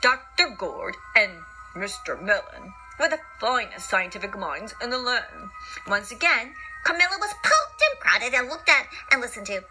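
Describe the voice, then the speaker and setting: A woman's voice: fairly high pitch, very animated, moderate pace, rough recording. A woman reading a children's picture book aloud theatrically, with playful asides and repetition. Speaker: storyteller, podcast host. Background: music.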